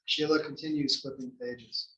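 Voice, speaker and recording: A man's voice: medium pitch, fairly even intonation, moderate pace, bright, forceful tone. Male stage-direction narrator in echoey room. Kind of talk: scripted narration at a table read. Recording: dull, echoey room, distant mic.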